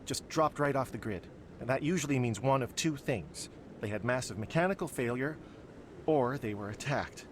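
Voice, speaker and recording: Worried actor as a man, rumbling background noise. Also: lively intonation, normal speed, lightly acted. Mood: worried, determined. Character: worried man preoccupied with his missing brother, guarded but hopeful. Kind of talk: video game dialogue acting.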